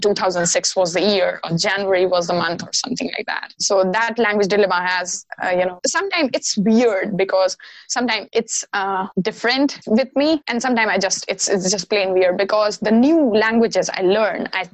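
A woman's voice: medium pitch, normal speed, dry recording, low-quality recording. Earnest Indian woman with a dry voice. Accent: Indian English. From Bihar, India. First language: Maithili. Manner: earnest, talks with many "you know" fillers. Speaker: guest, diversity researcher. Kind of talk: casual podcast conversation.

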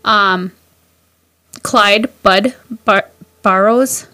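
A woman's voice: medium pitch, drawn-out words, pushed and bright, dry recording, clean studio sound. A woman co-hosting a podcast, telling a story in a giggly, bubbly casual way. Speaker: podcast co-host.

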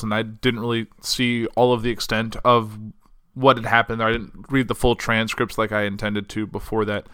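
A man's voice: mid-range voice, a bit bright, clean tone. A young man hosting a solo news podcast, reading articles aloud and then chatting casually, his voice getting worn. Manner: reads quotes then chats casually with fillers. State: losing his voice.

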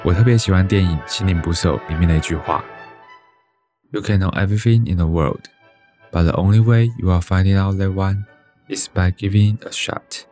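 Actor as low-voiced wistful young Taiwanese man. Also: moderate pace, mellow, faint music behind, natural voice. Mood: wistful, reflective. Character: wistful thirty-two-year-old man dreaming of life abroad. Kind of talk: reflective first-person radio drama narration.

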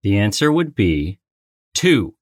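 A man's voice: on the low side, big pitch swings, takes it slowly, slight background noise. A man co-hosting a casual trivia game, bantering playfully and announcing like a mock game-show host. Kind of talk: casual bantering quiz chat.

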